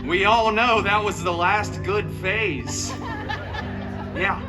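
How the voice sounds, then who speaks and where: High-pitched, theatrical, sarcastic male storyteller. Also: slow. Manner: sarcastic, theatrical, with wry asides. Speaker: costumed theme park performer reading a story. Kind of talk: comic live storytelling for a crowd. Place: outdoor theme park show. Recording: distant live amplified sound over loud music. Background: music.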